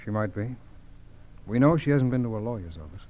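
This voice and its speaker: An actor playing a businesslike, level-headed FBI agent with a clipped, matter-of-fact manner in 1940s radio drama. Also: male voice, on the low side, lively intonation, a little fast, lightly acted. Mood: confident. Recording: dull, hissy vintage radio recording.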